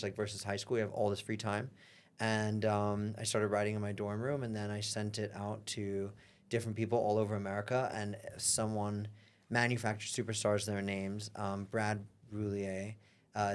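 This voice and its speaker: A young man born in London, a guest talking casually in an interview, rambling with many fillers. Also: fairly low pitch. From born in London, grew up in Europe. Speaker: guest, singer and artist.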